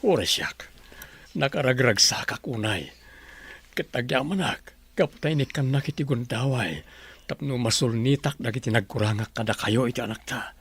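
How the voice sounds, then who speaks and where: Gravelly, earnest family man. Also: medium pitch, moderate pace, fairly bright tone. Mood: tender, grateful. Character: supportive, remorseful middle-aged husband and father. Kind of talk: Ilocano radio drama acting.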